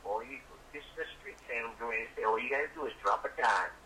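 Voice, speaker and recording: A man's voice: mid-range voice, fast talker, sharp tone. A middle-aged American man on a casual phone call, talking in a slangy, streetwise, rambling way and repeating himself. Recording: noisy phone call over speaker.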